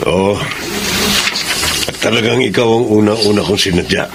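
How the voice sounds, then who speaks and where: A man's voice: fairly low pitch, moderate pace, very noisy, close to an everyday voice. An actor playing a vengeful male ex-convict in a radio drama. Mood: contrite.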